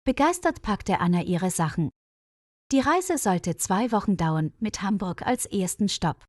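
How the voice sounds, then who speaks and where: A woman reading a scripted audio story for language learners in a measured, clearly phrased storytelling manner. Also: medium pitch, expressive, a little mellow, dry recording, clean studio sound. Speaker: narrator of German-learning stories.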